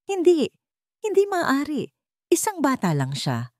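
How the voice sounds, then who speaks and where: A woman voicing a wealthy, domineering matriarch in a story, sharp, demanding and indignant. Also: high voice, huge pitch swings, unhurried, no echo, clean studio sound, pitched above the performer's own voice, heavily acted. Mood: alarmed, indignant.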